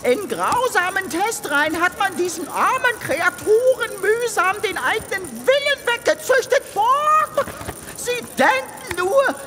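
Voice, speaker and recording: A woman's voice: very high voice, lively intonation, a little slow, noisy background. A woman playing an indignant, scornful alien crusader in a comedy science fiction audio drama. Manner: scornful, emphatic. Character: indignant female alien assistant, crusading against cruel factory.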